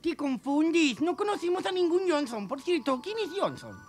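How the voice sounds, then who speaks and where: An actor voicing a tiny, naive male space gnome in a children's cartoon, squeaky, wide-eyed and full of exclamations. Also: very animated, normal speed, faint music behind. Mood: excitable. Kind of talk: dubbed children's cartoon acting.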